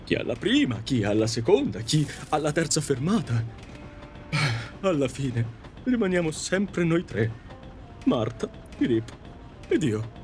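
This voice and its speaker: A man's voice: on the high side, big pitch swings, a little slow, darkish tone, soft background music. A man acting a wistful, romantic Victorian ghost who tells stories theatrically in an audio drama. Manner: theatrical storytelling.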